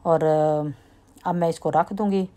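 Woman, lowish voice, relaxed homey baking narration, soft trailing endings. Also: slow. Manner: relaxed, chatty, trails off softly at sentence ends. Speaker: home cooking vlogger. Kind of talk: casual step-by-step baking talk.

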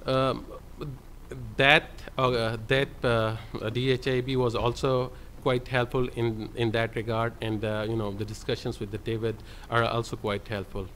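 A man's voice: mid-range voice, a bit bright, a little hiss or hum. A man speaking on a conference panel as a librarian, hesitant with many fillers. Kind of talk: panel presentation. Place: conference hall panel table. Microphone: table microphone.

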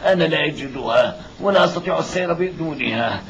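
A man's voice: a little high, expressive, fast, dull audio, slight background noise, close to an everyday voice. An actor playing a poor blind old father in a cartoon, groaning and muttering as he talks. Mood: plaintive searching. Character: poor blind old father, pitiful yet hopeful wanderer. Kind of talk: cartoon dub acting.

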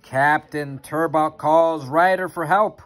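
An expressive reader with a sing-song delivery, reading a children's picture book aloud into a phone at home. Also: medium pitch, takes it slowly, soft background music. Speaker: storybook reader. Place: carpeted playroom at home. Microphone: handheld phone near the reader.

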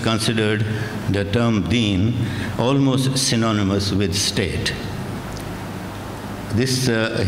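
An older man from Pakistan giving a keynote lecture at a podium microphone, reading from notes in a measured, deliberate way with occasional restarts. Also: lowish voice. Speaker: keynote speaker. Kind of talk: academic lecture read from notes. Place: large conference hall, at a podium. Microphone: podium microphone on a stand. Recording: echoey hall recording with audible room noise.

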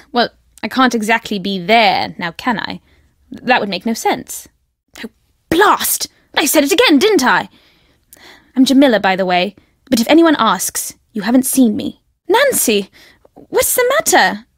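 A young woman voicing a chatty, excitable game character at a desert dig, talking in quick, flustered asides. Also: fairly high pitch, no room sound, studio quality, heavily acted. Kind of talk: video game voice acting.

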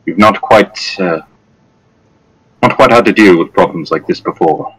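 A man's voice: low-pitched, a little slow, a bit bright, a little hiss or hum, low-quality recording. A man playing a fussy, formal town governor. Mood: worried, courteous. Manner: formal, hesitant, apologetic.

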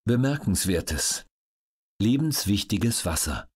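Guy narrating an educational audiobook, lowish husky voice, measured and factual. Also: very animated, a little mellow.

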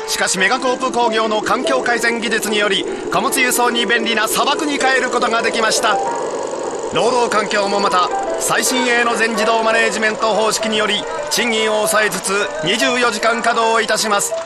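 A man's voice: sits high, fairly even intonation, normal speed, natural voice. A man playing a chipper corporate promo narrator in a video game, formal and polished in his announcing. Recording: loud music underneath.

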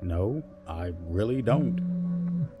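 An actor playing a bewildered, slow-talking big guy, a confused and hesitant podcast guest in an audio drama. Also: on the low side, big pitch swings, over music, exaggerated voice.

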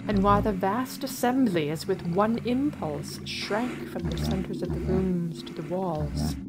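A woman giving a solemn, theatrical, drawn-out dramatic reading of literary prose. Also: medium pitch, big pitch swings, heavy background noise. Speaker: story reader.

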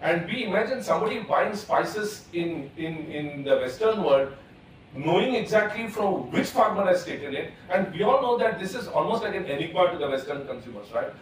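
A man, speaking eagerly on a conference panel and driving his point home with examples. His voice sits a little high. It comes through a table mic from some distance, in a big hall with heavy echo and a faint hiss. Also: lively intonation, normal speed. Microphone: table gooseneck mic.

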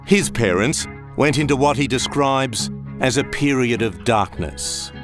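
A male reporter reads a scripted current-affairs narration in a measured, polished delivery. His voice sits in a middle range, with music clearly audible beneath it. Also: medium pitch, animated.

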